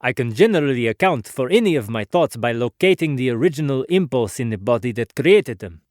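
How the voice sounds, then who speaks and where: A man playing an earnest, philosophical inventor in a dramatic biography, speaking in a measured, formal, oratorical way. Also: medium pitch, animated, darkish tone, dry recording, clean studio sound, close to an everyday voice. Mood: reflective, earnest. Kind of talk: dramatic biography narration, formal first-person monologue.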